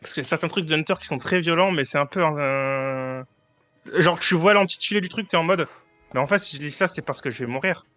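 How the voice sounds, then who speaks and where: A man's voice: a little high, fairly quick, sharp tone, no echo, faint music behind. A man playing in a tabletop game, chatting casually and joking, laughing at his own lines. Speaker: player. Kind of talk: casual chat among tabletop players.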